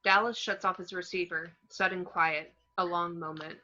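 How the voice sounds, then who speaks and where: A woman reading screenplay action descriptions as narration, in a plain, measured way. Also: fairly low pitch, steady tone, pushed and bright. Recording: slightly dull home microphone.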